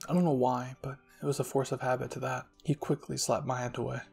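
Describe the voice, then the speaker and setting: A man narrating a scripted fan fiction story in a soft ASMR style as a channel host, with music behind him. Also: mid-range voice, little pitch movement, quick pace, creaky, breathy edge.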